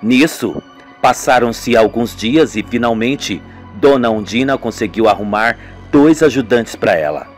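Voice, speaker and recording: A man narrating an amateur radio soap in a slow, deliberate way. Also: mid-range voice, lively intonation, soft background music.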